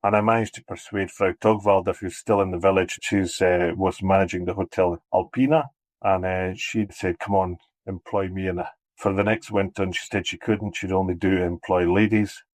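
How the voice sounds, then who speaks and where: Low flat-voiced Scottish man. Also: normal speed, no room sound, poor audio. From Scotland. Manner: repeats words and restarts while warming up. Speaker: cableway marketing head. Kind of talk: casual interview talk.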